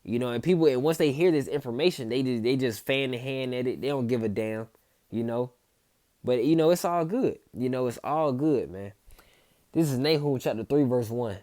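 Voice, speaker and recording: Man, loose repetitive preaching, warm low timbre, dead-dry recording. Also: normal speed, dry recording. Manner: casual, repeats himself, tags lines with "man" and "okay". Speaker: street preacher. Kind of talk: informal scripture teaching.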